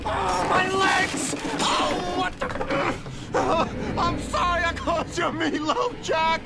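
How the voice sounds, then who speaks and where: A man's voice: very high-pitched, slow pace, creaky, very noisy, full character voice. An actor playing a young man crying out in agony as he turns into a werewolf in a horror radio drama. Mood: agonised, panicked.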